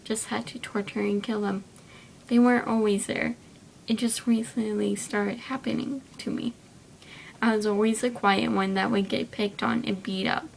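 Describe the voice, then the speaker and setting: Girl reading her story out loud, kinda creaky voice, bit of rumbly noise behind her, trips on words now and then. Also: rough recording. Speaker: video creator reading own story. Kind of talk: amateur story reading to camera.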